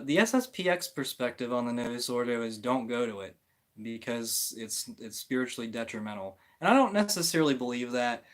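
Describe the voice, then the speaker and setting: A man's voice: medium pitch, a bit bright. A man hosting a livestream, talking casually and off the cuff in a hesitant way, often rephrasing mid-sentence as he gives his opinions. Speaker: livestream host answering viewer comments. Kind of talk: casual, rambling opinion talk on religion.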